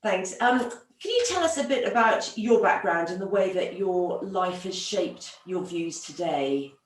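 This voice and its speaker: A woman's voice: fairly low pitch, animated, unhurried, slight background noise. A woman hosting an online event, introducing a guest speaker and putting questions to them. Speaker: host and moderator. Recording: roomy, distant microphone.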